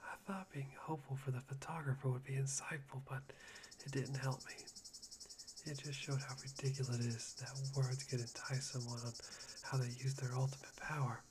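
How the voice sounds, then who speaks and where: A man playing an unsettling, self-deprecating young man obsessed with hope, breathy and theatrical in an anime-style ASMR roleplay. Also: medium pitch, flat delivery, a little fast, darkish tone, close to an everyday voice, low-quality recording. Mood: musing. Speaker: voice actor in fan roleplay. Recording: clear background noise, insect ambience.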